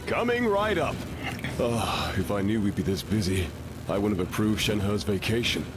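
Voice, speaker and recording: A busy male chef in a video game, his voice low and very expressive. He is casual and eager to serve, with clear music behind him. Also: normal speed. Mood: flustered.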